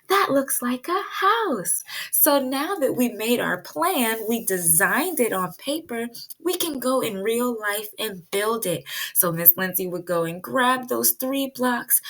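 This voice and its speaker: A woman's voice: a little high, fairly bright tone. A woman teaching pre-kindergarten children in a read-aloud lesson, explaining things simply and expressively with lots of questions.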